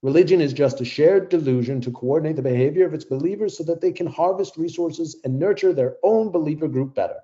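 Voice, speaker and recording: An actor playing a smug, zealous scientist who champions AI in an audio play debate, with a lofty, dismissive manner. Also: male voice, a little high, quick pace, hardly any pauses, mellow, lo-fi sound, natural voice. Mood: scornful. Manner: lofty, dismissive, self-assured.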